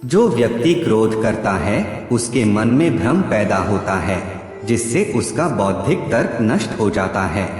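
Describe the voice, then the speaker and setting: Slow, echoing man narrating spiritual teachings. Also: lowish voice, big pitch swings, darkish tone. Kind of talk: scripted inspirational narration of spiritual quotes. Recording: very echoey reverberant voice track. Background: music.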